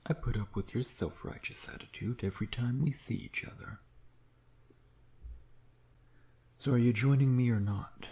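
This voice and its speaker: A man playing a smug, teasing vampire predator in an intimate ASMR roleplay, sardonic and flirtatious, often slipping into breathy murmurs. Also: fairly low pitch, dark tone, natural voice. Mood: taunting, amused.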